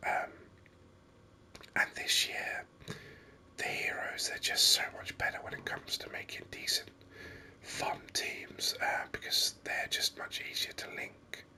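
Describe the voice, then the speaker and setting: A man whispers his way through video game commentary in ASMR style. The whisper is breathy and very bright, with sharp, crisp edges. He rambles on unhurriedly, close to the mic. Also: a little slow. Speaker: gaming video host.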